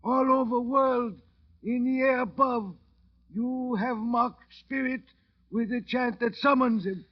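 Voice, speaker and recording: A man's voice: very high voice, steady tone, slow pace, full character voice. An actor playing a menacing, implacable old male voodoo priest in a radio drama, speaking in an ominous, incantatory way with clipped grammar. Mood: ominous, unforgiving. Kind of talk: 1940s-50s radio drama acting. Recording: dull old radio recording.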